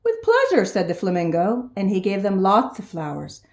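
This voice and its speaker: A woman reading a children's storybook aloud in an expressive storytelling style. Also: mid-range voice, normal speed. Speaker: storybook reader. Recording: echoey room.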